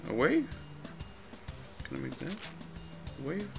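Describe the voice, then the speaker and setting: A man gives casual let's-play commentary, halting and thinking aloud as he plays. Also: fairly high pitch, big pitch swings, gravelly, over music. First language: Spanish. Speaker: gamer commentating his play.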